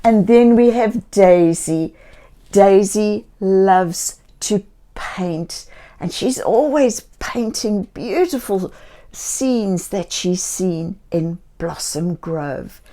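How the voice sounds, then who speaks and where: A woman reading a children's story aloud in a gentle, theatrical way, speaking directly to young listeners. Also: medium pitch, animated, very slow. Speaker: children's story reader and host.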